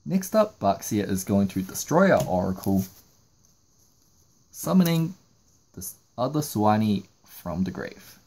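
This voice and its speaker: A man's voice: on the low side, very animated, a little slow, pauses often, dark tone, breathy edge. A man narrating a step-by-step card game tutorial, explaining each move in sequence. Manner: explanatory, walking through moves in sequence. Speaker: trading card game YouTuber.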